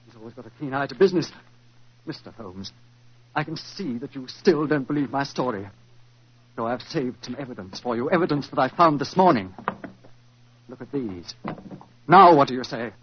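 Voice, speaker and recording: An actor in a 1940s radio drama playing an anxious, self-doubting stage actor, earnest and theatrical in manner. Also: male voice, medium pitch, expressive, normal speed, long pauses, breathy edge. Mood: distraught. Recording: dull, muffled 1940s radio recording.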